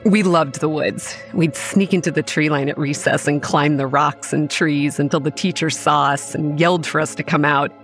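An actress playing a self-assured young woman who manages a campground, narrating a horror audio drama in a measured, matter-of-fact way. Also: low voice, fairly even intonation, soft background music, poor audio. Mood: measured, reminiscing. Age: young adult. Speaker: narrator and lead character. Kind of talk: scripted first-person horror drama narration.

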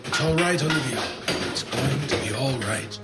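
An actor playing a gentle, steadfast, lonely old bookshop man in an audio horror drama, earnest and reassuring. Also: mid-range voice, lively intonation, moderate pace, over loud music, natural voice. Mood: soothing. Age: older.

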